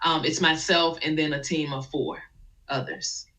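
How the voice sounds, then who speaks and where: A bright, clear-cut voice from a woman in her forties, pitched a little low. She comes from southern Virginia and talks informally as an interview guest. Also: steady tone, measured pace. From southern Virginia, USA. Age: 40s. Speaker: interview guest, project management executive.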